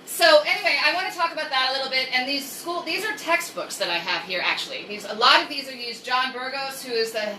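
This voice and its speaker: A woman's voice: high voice, animated, fast talker, few pauses, pushed and bright. An American woman hosting a casual, chatty livestream story time, rambling with jokey asides and reading aloud. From United States. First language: English. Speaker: host of a live story-time stream. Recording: roomy echo, distant mic, faint noise.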